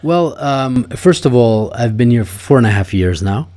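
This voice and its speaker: A man's voice: medium pitch, lively intonation, moderate pace, mellow. A man from Russia speaking as a guest in a casual interview, opinionated and thoughtful, with frequent fillers and restarts.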